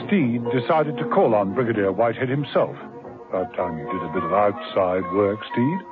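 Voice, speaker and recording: A man narrating a radio drama in a measured storytelling style. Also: fairly low pitch, lively intonation, loud music behind the voice. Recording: tinny old broadcast with hiss.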